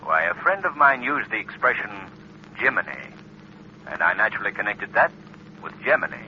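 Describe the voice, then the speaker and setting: An actor playing a mysterious, coolly superior crime fighter in 1930s radio style, with a deliberate, taunting manner. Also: male voice, lowish voice, sing-song. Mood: mocking, smug. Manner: mocking, deliberate. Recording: muffled, noisy 1930s radio recording.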